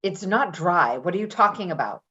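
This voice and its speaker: An actress playing a brash, chatty, defensive caregiver in a naturalistic play reading, rambling and halting as she goes. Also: on the low side, expressive, pushed and bright, breathy edge, highs cut off, heavily acted. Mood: irritated, needling. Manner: rambling, halting, with nervous laughter. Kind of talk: naturalistic readers theater acting.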